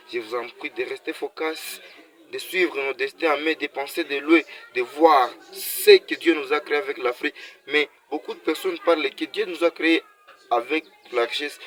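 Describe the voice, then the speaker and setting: A man's voice: medium pitch, fairly bright tone. A man from Congo delivering a passionate motivational monologue to his viewers, full of repeated words and restarts. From Congo (DRC). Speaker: video creator.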